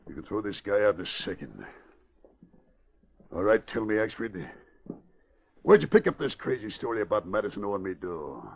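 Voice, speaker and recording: An actor playing a hot-tempered gangster boss with a rough voice and bullying slangy talk, in 1940s radio style. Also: male voice, fairly low pitch, very animated, normal speed, long pauses, full character voice. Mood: gruff, suspicious. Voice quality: gravelly, rough. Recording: muffled old radio recording.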